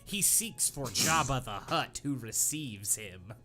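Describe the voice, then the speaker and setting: A young man with a bright, crisp voice who reads with big swings in pitch, playing up every line for laughs. He hosts a comedy podcast, hamming up bad prose, with faint music under him. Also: measured pace. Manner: animated, mock-dramatic reading. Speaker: podcast co-host reading fan fiction.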